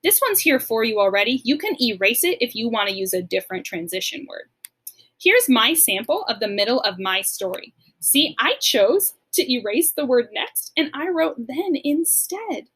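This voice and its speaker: Bright, high-pitched woman teaching children. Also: big pitch swings, normal speed, husky. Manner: encouraging, simple step-by-step explaining. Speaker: elementary school teacher. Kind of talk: recorded lesson for young pupils, explanatory.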